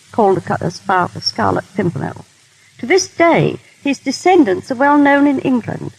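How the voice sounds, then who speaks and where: A woman's voice: high-pitched, gravelly, a little mellow, rough recording. A woman guest telling genteel, formal anecdotes in a scripted 1930s radio interview. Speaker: guest technical advisor and former royal dresser. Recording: vintage 1930s radio broadcast.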